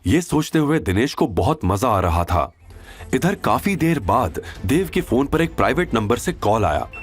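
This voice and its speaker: A man narrating a scripted audio drama in an expressive storytelling manner. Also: mid-range voice, normal speed. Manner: expressive storytelling, does all characters.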